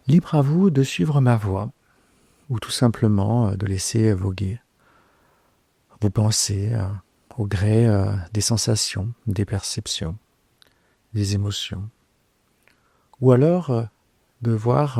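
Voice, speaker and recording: A man guiding a yoga nidra relaxation in a soft, breathy, hushed voice, unhurried, with long pauses and gently repeated phrases. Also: on the low side, dry recording. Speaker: yoga nidra guide, host. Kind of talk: slow guided relaxation meditation.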